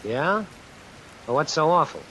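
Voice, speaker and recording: An actor playing a brash, suspicious American drifter fresh out of jail, slangy and sardonic, in old-time radio suspense style. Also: male voice, a little high, a little slow. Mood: casual, flirting. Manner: slangy, hard-boiled, sardonic. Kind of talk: old-time radio suspense acting with first-person narration. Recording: vintage radio recording with hiss and rain. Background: steady rain sounds added over the broadcast.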